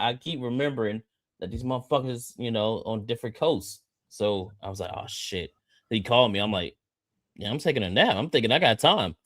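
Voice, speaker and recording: A thirty-year-old American man chatting on a livestream. His voice is medium-pitched and recorded dry and close. He talks in a boisterous, slangy way and likes to repeat his phrases for emphasis. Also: fairly bright tone, no room sound. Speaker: livestream co-host. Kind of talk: casual joking livestream chat.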